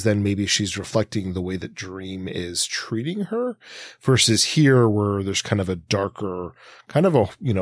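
A man's voice: sits low, no echo. A man co-hosting a podcast, casually analysing a story and thinking aloud in hedged phrases. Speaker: podcast co-host.

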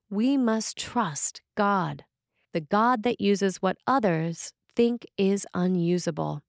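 A woman's voice: medium pitch, dry recording, studio quality. A woman priest reading a scripted sermon.

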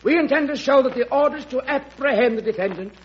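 Very high-voiced, insistent defense attorney. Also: male voice, fast, dull audio. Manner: declamatory, formal. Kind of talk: classic radio drama acting. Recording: noisy, band-limited old radio recording.

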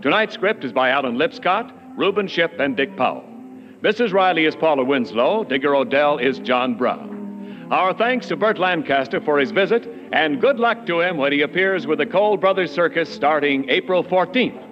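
A man's voice: on the high side, lively intonation, moderate pace, soft background music. A man announcing from a script in 1940s radio style, as a broadcast announcer. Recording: muffled old radio, long hall reverb.

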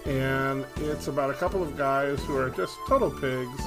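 A man's voice: medium pitch, moderate pace. A man hosting a podcast as a publisher, giving an informal spoken introduction. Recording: music behind the voice.

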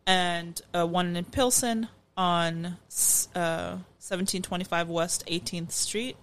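A woman's voice: lowish voice, steady tone, slow pace. A woman co-hosting a casual food-review chat, explaining background details with many "like" and "um" fillers. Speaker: podcast co-host.

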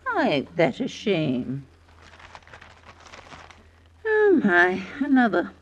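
A woman's voice: medium pitch, huge pitch swings, extremely slow, heavily acted. Wildly swooping gossipy Cockney landlady. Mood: morbid relish. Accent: London Cockney. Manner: gossipy, relishing, theatrical. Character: gossipy, nosy older London landlady, slyly morbid. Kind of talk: old-time radio drama acting. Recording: dull vintage radio recording.

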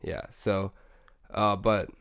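A man's voice: fairly low pitch, fairly even intonation, takes it slowly, creaky, fairly bright tone, clean tone, dry recording, studio quality. A young man, a music producer, chatting casually as an interview guest with a laid-back manner and many fillers. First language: Spanish.

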